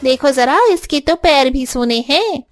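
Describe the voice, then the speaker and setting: A gossipy middle-aged neighbour from a cartoon, put on by a woman. She is sharp-tongued and theatrical, high in pitch, with light music playing underneath. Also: measured pace. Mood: scornful.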